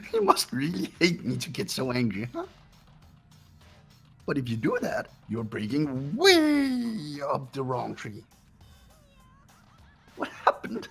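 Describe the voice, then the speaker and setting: Gravelly, gleefully sadistic bear. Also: mid-range voice, heavily acted. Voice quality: gravelly, raspy. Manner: taunting, theatrical, cackling. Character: sadistic gleeful mechanical bear, mocking mastermind of a killing game. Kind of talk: fan voice acting over a game playthrough.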